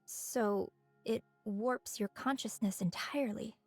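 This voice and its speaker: A woman's voice: a little high, slow, darkish tone, dry recording. A woman voicing a poised, inquisitive female researcher with hidden menace in a dramatic video game scene. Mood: fascinated. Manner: curious, probing, poised. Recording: music behind the voice.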